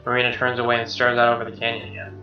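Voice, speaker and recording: A man doing an expressive read-aloud of a game's narration, with casual asides. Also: medium pitch, racing. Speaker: gamer reading a visual novel aloud. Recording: roomy, dull lo-fi mic. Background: game music.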